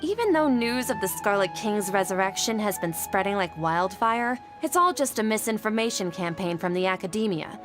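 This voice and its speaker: A woman's voice: mid-range voice, expressive, normal speed, hardly any pauses, natural voice. A woman acting an earnest, polite and devout young desert woman in a video game. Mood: shaken, reverent. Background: game music.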